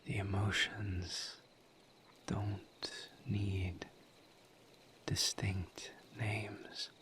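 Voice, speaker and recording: A man voicing a gentle, patient misery demon in a breathy whisper, as an empathetic confidant in an intimate audio roleplay. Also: lowish voice, flat delivery, a little hiss or hum. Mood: gentle, consoling. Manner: slow, halting, soothing, with long pauses.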